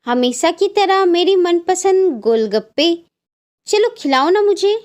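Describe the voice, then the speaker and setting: An anxious young bride from a cartoon, voiced by a woman. Her voice is extremely high and girlish in pitch. She comes across as haunted and insistent. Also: lively intonation, unhurried, darkish tone. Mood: playful scolding. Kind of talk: Hindi cartoon story acting.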